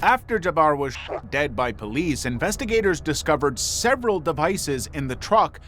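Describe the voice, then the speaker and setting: A man reading scripted true-crime documentary narration in a measured, serious manner. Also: a little high, sing-song, fairly bright tone.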